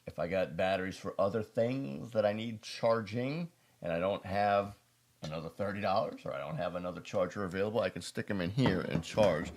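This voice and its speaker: An American man with a deep voice and plenty of gravelly fry. He talks to his viewers as a casual prepper host reviewing gear. His delivery rambles, with folksy asides and a self-deprecating streak. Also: brisk, a little mellow. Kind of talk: casual product review talk to camera.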